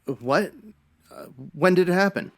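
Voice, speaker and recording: A man's voice: sits high, measured pace. A man playing a nervous, evasive husband under suspicion in a radio detective drama, hesitant and pleading. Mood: defensive. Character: nervous, evasive husband cornered as a murder suspect. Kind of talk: old-time radio detective drama acting.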